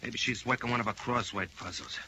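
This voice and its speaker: An actor playing a sullen small-time crook in an old radio crime drama, slangy, evasive and defensive. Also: male voice, lowish voice, brisk, bright, forceful tone. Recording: noisy old radio recording.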